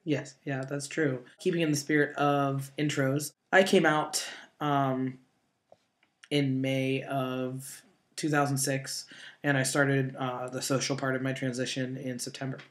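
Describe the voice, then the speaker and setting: A man in his late twenties, co-hosting a casual chat and talking in a relaxed way with many fillers and pauses. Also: mid-range voice. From northeastern Ohio. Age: 20s. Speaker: co-host.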